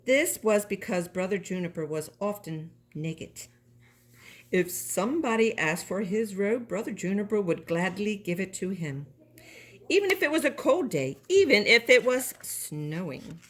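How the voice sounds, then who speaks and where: A woman reading a children's story aloud in an expressive, animated way, as a storybook reader. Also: medium pitch, a little slow.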